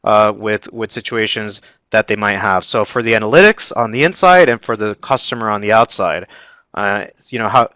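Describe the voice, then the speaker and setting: A man's voice: on the low side, moderate pace, sharp tone, dry recording. A man moderating a panel, asking long rambling questions full of fillers. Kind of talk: panel discussion.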